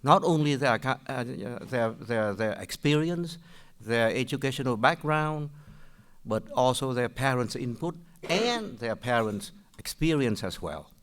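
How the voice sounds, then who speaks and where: A man's voice: medium pitch, animated, fairly bright tone. Older man with a Vietnamese accent. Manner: halting, many restarts and fillers. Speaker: public commenter. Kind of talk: public meeting questions.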